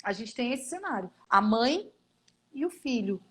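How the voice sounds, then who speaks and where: A Brazilian woman with a fairly high voice speaks earnestly about her missionary work. She tells her stories with animation and slips into quoted voices as she goes. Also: big pitch swings, measured pace, fairly bright tone. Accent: Brazilian Portuguese. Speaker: missionary guest. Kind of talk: casual testimony in a live stream.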